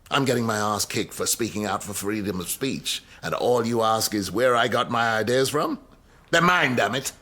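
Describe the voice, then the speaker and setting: A pompous prof in a radio play, bit raspy, sharp and forceful, argues in big wordy speeches. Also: male voice, lowish voice, animated, moderate pace. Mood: indignant. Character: arrogant, defensive male university professor under fire. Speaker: actor in a radio drama. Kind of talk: naturalistic radio drama acting.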